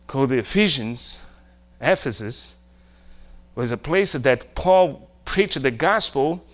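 A middle-aged Brazilian preacher delivers a sermon in a slightly high male voice. He takes his time, breaking his thoughts into short emphatic phrases and putting questions to his listeners in a Brazilian accent. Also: a bit bright. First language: Portuguese.

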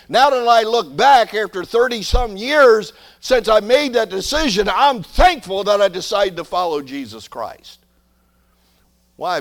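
A man in his sixties preaching a sermon, swelling to emphatic peaks and dropping to quiet asides, often repeating words as he builds a point. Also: a little high. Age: 60s. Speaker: pastor.

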